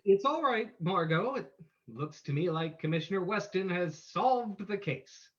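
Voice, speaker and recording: A man playing a suave, unruffled gentleman sleuth in an old-time radio drama, polite and assured. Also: high voice, animated, unhurried, highs cut off. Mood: calm, reassuring.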